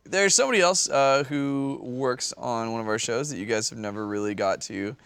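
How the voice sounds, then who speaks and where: A man's voice: mid-range voice, normal speed, a bit bright, smooth, no echo, clean studio sound. A man co-hosting a podcast, bantering casually and loosely with the panel. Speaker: podcast co-host.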